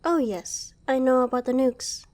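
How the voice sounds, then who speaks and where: A woman acts out a female secret agent interrogating a captive in an ASMR roleplay. Her voice is slightly high and mellow, and she takes her time. She is cool and taunting, leaving deliberate pauses that carry controlled menace. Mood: calm, taunting.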